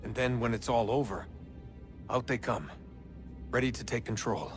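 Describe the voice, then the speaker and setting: A young American man, played by an actor, blunt and weary of being used, the reluctant hero of a video game. His voice is an everyday mid-range one, with music playing clearly behind it. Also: mid-range voice, normal speed, close to an everyday voice. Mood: thoughtful. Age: young adult. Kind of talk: naturalistic video game acting.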